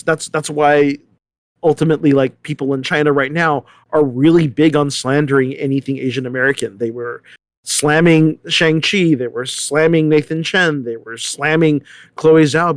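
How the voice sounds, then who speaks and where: A man's voice: a little high, no room sound. A man speaking as a panelist in a discussion, thinking aloud with repeated words and checking in with "right?". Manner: halting, repeats words, seeks agreement with "right?".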